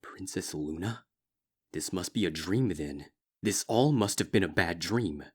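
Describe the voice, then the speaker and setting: A man reading a dark fanfiction story aloud in a slow, grave, steady narrating style. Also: low voice. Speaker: fanfiction reader.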